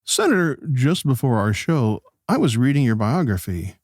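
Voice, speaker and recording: An actor playing a polite radio host who gently probes his political guests in a conversational interview style. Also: mid-range voice, sing-song, normal speed, dry recording, studio quality. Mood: polite, curious. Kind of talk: pseudo-radio play interview.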